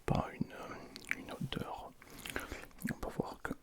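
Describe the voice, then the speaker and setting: A man hosting an ASMR tasting in a casual, hushed, low voice. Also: slow pace. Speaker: ASMR video host. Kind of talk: whispered ASMR food tasting.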